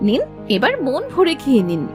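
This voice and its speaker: A woman's voice: high voice, huge pitch swings, moderate pace. A woman playing a caring, dutiful young village housewife in a cartoon fairy tale, respectful and attentive. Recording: faint music behind.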